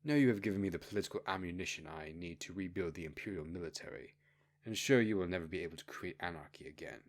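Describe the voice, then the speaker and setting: A man reads as a calculating, vengeful ruler in an audiobook. His voice sits low, and the delivery is measured, formal and quietly menacing. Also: close to an everyday voice. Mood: cold resolve. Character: cold, calculating, vengeful imperial schemer, man.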